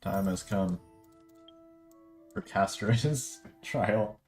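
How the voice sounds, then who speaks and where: Low-pitched male gaming streamer, casual. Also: drawn-out words, a little mellow. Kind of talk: casual game commentary. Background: game music.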